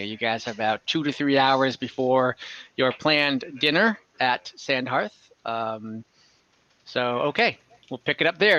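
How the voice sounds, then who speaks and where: A man running a tabletop game as game master, narrating casually in a halting, conversational way. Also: mid-range voice, sharp tone, no echo. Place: online call, dry close room. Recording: dull lo-fi online call audio.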